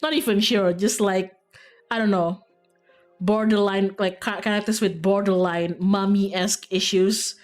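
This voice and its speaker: A woman live-streams a game in an informal, rambling way, giggling easily. Her pitch sits in the middle for a woman, and music plays clearly behind her. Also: steady tone, measured pace. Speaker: streamer playing a visual novel.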